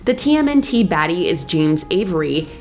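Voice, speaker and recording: Woman doing scripted countdown voiceover, lowish voice, faint music under it. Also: very animated, normal speed, darkish tone. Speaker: countdown narrator. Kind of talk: scripted narration.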